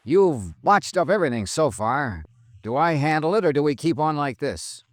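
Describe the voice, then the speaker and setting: An actor playing a tough, sardonic insurance investigator with an American accent, brisk and assertive, in 1950s radio drama style. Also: male voice, on the high side, animated, no room sound, clean studio sound, natural voice. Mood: firm, challenging. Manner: brisk, hard-boiled, assertive. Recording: restored old radio broadcast.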